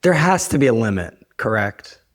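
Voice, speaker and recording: A hoarse man who is losing his voice, talking casually and wryly as a podcast host in a rambling, informal way. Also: mid-range voice, very animated, moderate pace, no room sound, studio quality. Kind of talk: casual conversational podcast talk.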